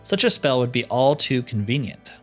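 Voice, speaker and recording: A man's voice: medium pitch, sing-song, moderate pace, soft background music. A man reading the part of a courteous, scholarly cat-man spell trainer, speaking formally in game dialogue. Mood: thoughtful, scholarly. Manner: formal, speaks of himself in third person.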